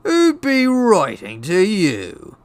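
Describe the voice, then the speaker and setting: Man voicing a blustering middle-aged uncle, croaky, snapping, tense, angry, panicky, audiobook character. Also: mid-range voice, animated, slow, no room sound, voice pushed up, exaggerated voice. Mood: furious. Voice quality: croaking, forceful. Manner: sneering, snapping, roaring.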